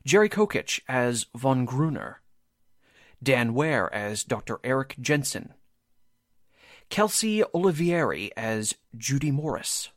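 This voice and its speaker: Casting: male announcer for scripted radio theater, animated melodic read, dry studio sound. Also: mid-range voice, slow pace, dry recording. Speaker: radio drama announcer and voice actor.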